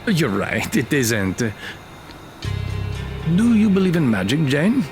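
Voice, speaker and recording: A man's voice: fairly high pitch, big pitch swings, slow. A man playing a genial, persistent military colonel in an audio drama, informal and a little hesitant. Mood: playful. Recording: music under the voice.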